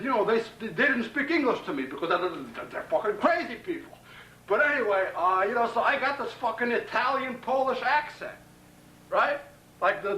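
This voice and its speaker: A man's voice: high-pitched, bright, forceful tone. A man with a Brooklyn accent rambles profanely to a friend on a home camcorder tape in his apartment bedroom. He boasts, makes threats, stutters through restarts and says "man" constantly. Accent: Brooklyn New York. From Brooklyn, New York. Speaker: home video maker. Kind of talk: rambling camcorder address to a pen pal. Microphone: camcorder on a tripod. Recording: roomy, distant 1990 camcorder tape with hiss.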